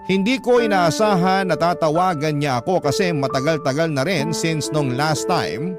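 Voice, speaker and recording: Higher-pitched Filipino male radio narrator. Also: moderate pace. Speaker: radio drama host and narrator. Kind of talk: scripted first-person story narration. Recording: music bed behind the narration.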